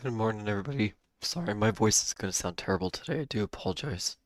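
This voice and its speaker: A man hosting a live day-trading stream, hoarse and losing his voice, talking casually with a cough drop in his mouth and clearing his throat. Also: fairly low pitch, animated, fast talker, no echo, clean studio sound. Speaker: livestream day-trading host.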